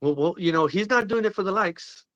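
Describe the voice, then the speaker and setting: A man's voice: high voice, brisk, a bit bright, no room sound, highs cut off. A man who owns a record shop, talking as a guest in a casual interview, laid-back and advising.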